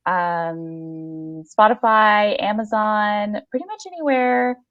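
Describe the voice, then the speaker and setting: A woman hosting a casual podcast, effusive and encouraging with those she talks to. Her voice sits at a medium pitch. The sound is dry and slightly dull, like a lo-fi stream. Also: takes it slowly, no echo. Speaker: podcast co-host. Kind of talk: casual interview chat with plugs.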